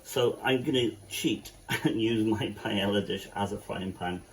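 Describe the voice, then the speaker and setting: A man's voice: on the low side, unhurried, a little hiss or hum, poor audio. Chatty Welsh man teaching a recipe. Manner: chatty, with joking asides. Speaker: cafe cook. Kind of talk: cooking tutorial.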